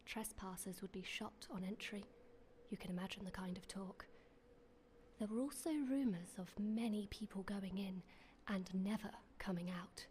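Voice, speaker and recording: A woman plays a young English sweetheart in a period radio play, with a medium-pitched voice. She is well-spoken, teasing and dryly wry, with light ambience behind her. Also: normal speed, darkish tone, a little hiss or hum, close to an everyday voice. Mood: hushed, eerie. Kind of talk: period radio drama acting.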